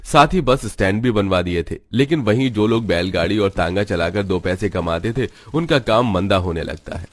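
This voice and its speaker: A man's voice: lowish voice, lively intonation, moderate pace, few pauses, no echo. A man reading scripted story narration for a cartoon.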